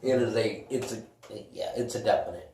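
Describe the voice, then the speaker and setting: A man's voice: on the low side, moderate pace, dark tone. A middle-aged man co-hosting a casual chat about games and movies. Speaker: podcast co-host. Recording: echoey room, distant mic, hissy noise.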